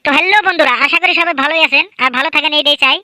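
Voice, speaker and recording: A high-pitched woman with a very bright, sharp tone speaks a rural Bangladeshi Bangla dialect. She voices comic cartoon banter in a lively, boastful, teasing way. The sound is dry and close to the mic. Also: rapid-fire, no room sound. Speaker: cartoon voice artist and narrator. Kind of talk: comedic cartoon voice acting.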